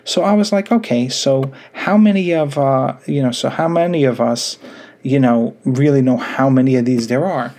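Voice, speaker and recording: A man's voice: mid-range voice, a little mellow. A middle-aged American man hosting a solo podcast, talking casually and rambling with lots of fillers and restarts, recorded indoors on a close webcam or phone mic. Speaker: podcast host.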